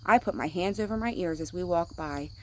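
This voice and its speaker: A woman's voice: on the low side, a little fast, a little hiss or hum, low-quality recording. A woman reading a picture book aloud to children, speaking simply like a teacher to young listeners. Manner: acts out sound words.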